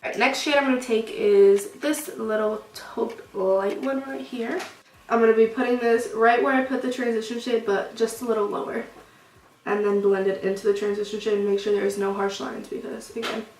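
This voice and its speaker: A woman's voice: a little high, fairly even intonation, moderate pace, darkish tone, far from the mic. A young woman with a cold and a sniffly, stuffed-up sound talks through a makeup tutorial in a loose, chatty way full of fillers. Her voice comes from some way off the mic in a roomy space. A faint hiss sits behind it. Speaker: beauty vlogger.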